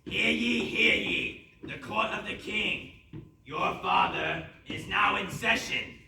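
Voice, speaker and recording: A man playing a pompous royal herald in a comic stage play, proclaiming loudly. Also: high-pitched, slow pace, creaky, full character voice. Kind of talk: comic stage readers theatre. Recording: very echoey hall, far from mic.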